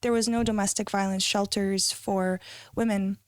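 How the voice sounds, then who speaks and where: A woman's voice: medium pitch, level tone, moderate pace, clean tone, dry recording. Guest voice: young woman from North Africa, thoughtful and searching, fairly dry recording. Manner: thoughtful, searching for words with many fillers. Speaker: guest, immigrant rights advocate. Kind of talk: reflective conversational interview answers.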